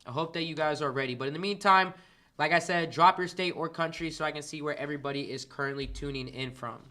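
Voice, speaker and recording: Bright-voiced man hyping live chat. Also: a little high, quick pace. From Kansas City, Missouri, US. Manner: hypes up and greets chat viewers by name. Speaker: dropshipping coach hosting a livestream. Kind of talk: casual livestream Q and A.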